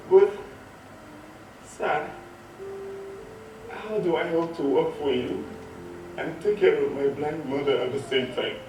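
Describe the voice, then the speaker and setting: Man as a high-voiced, humble young daughter. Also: noisy background. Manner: polite, timid, thankful. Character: humble young beautiful daughter of a blind beggar. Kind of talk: theatrical one-man stage acting. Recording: distant echoey stage recording, music behind.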